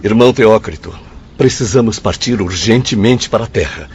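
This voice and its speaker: An actor with a Brazilian accent playing an earnest, deferential spirit aide in a radio drama, speaking formally. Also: male voice, fairly low pitch, moderate pace, darkish tone, natural voice. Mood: urgent. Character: earnest, deferential male spirit aide reporting to his superior. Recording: dull lo-fi audio, faint noise.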